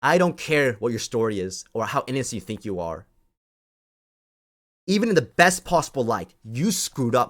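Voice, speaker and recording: A man ranting off the cuff to an online audience as a community host, scolding and emphatic, often repeating phrases to drive them home. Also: medium pitch.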